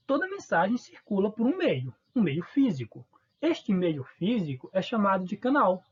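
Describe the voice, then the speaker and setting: Northeastern Brazilian teacher with a husky voice. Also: male voice, high-pitched, darkish tone, dull audio. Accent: Northeastern Brazilian Portuguese. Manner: informal, asks students questions and answers them. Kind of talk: recorded online lesson.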